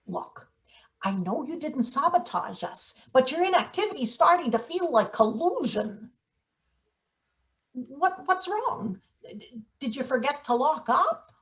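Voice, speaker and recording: A woman's voice: sits high, big pitch swings, a little slow, a bit bright, full character voice. A woman playing a menacing, sardonic late middle-aged maintenance worker in a table read of a satirical play. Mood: suspicious, exasperated. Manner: sardonic, interrogating, theatrical threats.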